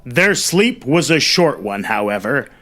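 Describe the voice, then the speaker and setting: A man's voice: medium pitch, very animated, a little slow, sharp tone, soft background music. A man hosting a story show, telling tales with dramatic phrasing and casual, conversational asides. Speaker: host and storyteller.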